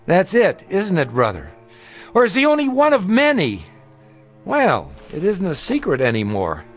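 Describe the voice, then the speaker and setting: A man's voice: sits high, huge pitch swings, normal speed, faint music behind, exaggerated voice. An actor playing a suspicious, sarcastic male traveller in a television drama, arguing with theatrical emphasis. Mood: indignant. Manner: argumentative, sardonic, theatrical emphasis. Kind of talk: dramatic television-style acting.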